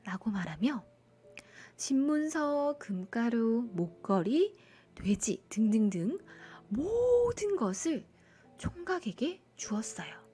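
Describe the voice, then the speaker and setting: A woman narrating a children's bedtime folktale in an expressive storytelling style. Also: on the high side, takes it slowly, slightly rough, faint music behind.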